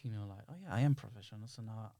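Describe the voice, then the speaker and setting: A man's voice: lowish voice, racing, creaky, dark tone, no echo. Reflective male podcast guest. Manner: rambling, reflective, quotes imagined dialogue. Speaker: interview guest, filmmaker. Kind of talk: casual podcast interview.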